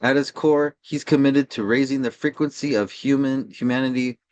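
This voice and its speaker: A man's voice: medium pitch, fairly even intonation, moderate pace, darkish tone. A man hosting a podcast, reading a scripted introduction of a guest. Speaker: podcast host.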